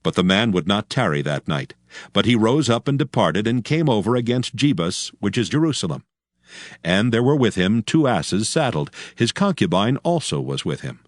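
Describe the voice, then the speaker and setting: A man's voice: on the low side, sing-song, moderate pace, no echo, clean studio sound. A man narrating dramatized scripture in archaic English with a solemn storytelling manner.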